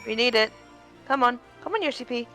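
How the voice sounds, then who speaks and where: A woman's voice: on the high side, animated, a little fast, bright, forceful tone. A woman co-hosting a game stream, chatting casually about the story and its characters. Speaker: co-host commenting on the stream. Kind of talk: casual game commentary chat. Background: game music.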